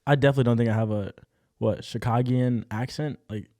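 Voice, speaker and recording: A young man as a podcast guest in casual chat, easygoing and giving brief, relaxed replies. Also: medium pitch, quick pace, dry recording, studio quality. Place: studio.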